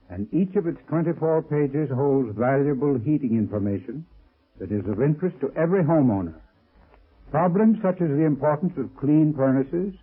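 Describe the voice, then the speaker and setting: A man giving a formal, deliberate 1930s radio advertising talk as a sponsor's heating expert. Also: medium pitch. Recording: very muffled, rough old radio recording.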